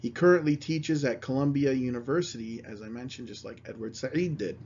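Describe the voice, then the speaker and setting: Man, history lecturer, reads quotes then explains plainly, middle pitch, slightly muffled lo-fi sound. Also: a little fast, a little mellow, slight background noise. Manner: reads quotations aloud, then explains them in plain words. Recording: slightly dull, lo-fi recording.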